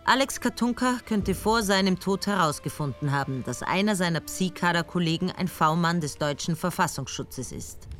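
A cool police colonel. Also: female voice, fairly low pitch, moderate pace, hardly any pauses, faint music behind. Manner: calm, dry and matter-of-fact. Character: level-headed Austrian woman colonel. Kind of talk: radio drama narration and dialogue.

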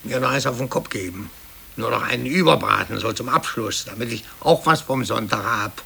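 An actor playing an aggrieved, self-pitying clerk in 1950s radio drama, brooding, grumbling and repeating himself when agitated. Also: male voice, medium pitch, expressive, moderate pace, vocal fry, pushed and bright, husky, exaggerated voice. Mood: bitter, brooding. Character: aggrieved, self-pitying male office clerk, resentful of his boss. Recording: hissy old 1950s radio tape.

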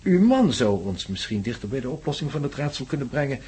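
An actor playing a courteous professor in a radio drama, speaking in a grave, measured, formal manner with a husky voice. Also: male voice, mid-range voice, big pitch swings, darkish tone. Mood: grave, explanatory. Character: grave, courteous male professor explaining ominous mysteries.

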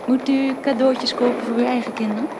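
A woman's voice: a little high, level tone, fairly quick, background noise, natural voice. A woman playing a kind, slightly flustered young woman looking after a child in a radio drama. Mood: shyly amused.

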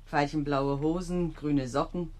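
Worldly police secretary. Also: female voice, low-pitched, steady tone, unhurried, a little mellow. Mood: dryly amused. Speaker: actor. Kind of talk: 1970s German radio crime drama acting.

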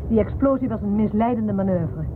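An actress playing a brusque, scheming and impatient commander in a science-fiction audio drama. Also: female voice, medium pitch, fairly quick, natural voice. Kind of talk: science-fiction radio play acting. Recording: phone-like band, vehicle noise.

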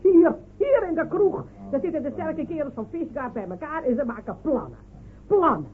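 An actress in a radio play voicing a scornful, sharp-tongued village woman who mocks and scolds. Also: sits high, very animated, normal speed. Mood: indignant. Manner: mocking, scolding, rhetorical questions. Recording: phone-like, noisy old radio play recording.

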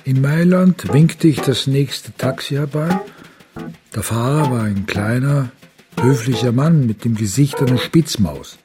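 A brooding, haunted father acted by a man in a radio drama. His mid-pitched voice moves slowly and haltingly, as if confiding, with music behind it. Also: animated, lightly acted. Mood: reflective.

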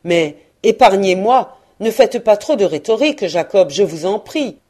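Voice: mid-range voice, animated, a little slow, a little mellow. A narrator voicing a frightened, humbled old male miser who speaks with hesitant deference. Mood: uneasy. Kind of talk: audiobook character reading.

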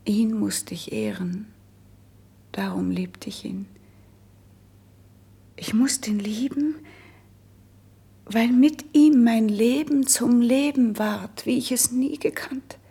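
Sad lovesick princess in an old verse radio play, very breathy, bit of creak, solemn. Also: female voice, a little high, full character voice. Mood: wistful sorrow. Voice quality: breathy, hushed. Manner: solemn, wistful, drawn-out poetic phrasing. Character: noble, melancholy young princess, lovesick and resigned. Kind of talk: classical verse radio drama, declaimed.

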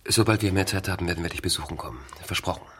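An actor playing a brooding, haunted man in a radio drama, speaking in a measured, subdued way. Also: sits low, a bit bright, breathy edge, no room sound. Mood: polite, evasive. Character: brooding man haunted by fragmentary childhood memories. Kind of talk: introspective radio drama monologue and dialogue.

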